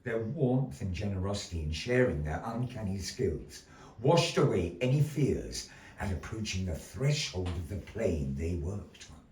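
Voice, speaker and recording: A man's low voice carries across an echoey room, a little way from the microphone. He tells his tale as a theatrical storyteller, swinging widely in pitch. His delivery is declamatory, with weighty pauses for drama. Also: very animated, a little mellow, a little hiss or hum. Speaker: storyteller, puppet show narrator. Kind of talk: theatrical live storytelling narration. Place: indoor gallery performance space. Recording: echoey gallery room, distant mic.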